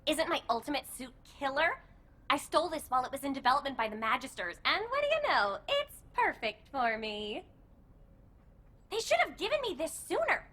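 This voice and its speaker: Game role: mischievous, brash young female soldier. Sky-high, swooping delivery with dramatic flair. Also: big pitch swings, brisk, pushed and bright, heavily acted. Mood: showing off. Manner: brash, flippant, pleading, theatrical. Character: excitable, mischievous young woman soldier in a stolen super-suit. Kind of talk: animated video game voice acting.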